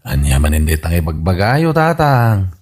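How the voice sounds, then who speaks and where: A man's voice: low-pitched, very animated, normal speed, darkish tone. A man with an Ilocano accent playing an exasperated adult son in a comedy radio drama, questioning his old father incredulously.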